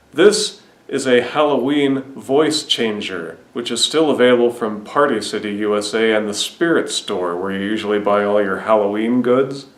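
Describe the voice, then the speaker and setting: A man's voice: fairly low pitch, echoey room. Matter-of-fact man giving DIY instructions. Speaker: DIY video host. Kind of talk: step-by-step tutorial narration.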